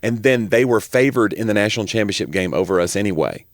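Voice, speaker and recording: A man's voice: on the low side, lively intonation, quick pace, no room sound. An American man in his forties, a podcast co-host, chatting casually and wryly, close on the microphone. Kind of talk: casual chat. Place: small home room, indoors. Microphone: close podcast microphone on boom arm.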